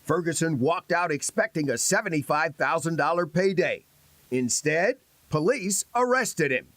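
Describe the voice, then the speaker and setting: A man's voice: on the high side, lively intonation, normal speed, a bit bright, no echo. An American man delivering scripted investigative news narration as a television reporter.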